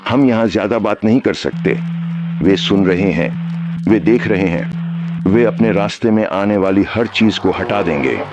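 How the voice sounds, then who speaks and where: A desperate rebel acted by a man sends a secret warning in an analog horror story. The voice is fairly low, urgent and conspiratorial, pressing the listener insistently over prominent music. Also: moderate pace, highs cut off, lightly acted.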